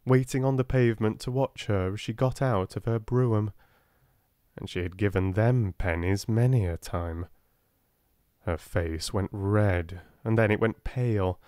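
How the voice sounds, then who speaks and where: A soft-spoken man with a British accent narrating a classic children's audiobook. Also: lowish voice, dry recording, clean studio sound.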